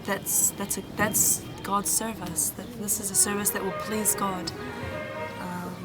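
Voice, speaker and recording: A young woman from New Zealand, a volunteer answering interview questions in an earnest, halting way with many pauses and restarts. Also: mid-range voice, level tone, over loud music. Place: Egyptian village, indoors or outdoors. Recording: noisy background.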